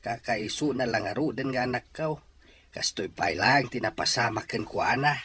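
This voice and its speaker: A man's voice: medium pitch, normal speed, pushed and bright, a little hiss or hum, natural voice. A man with a Filipino accent playing an earnest, middle-aged widowed father in an Ilocano radio-style drama. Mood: worried. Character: worried widowed father, stern and plain-spoken. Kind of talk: Ilocano audio drama acting.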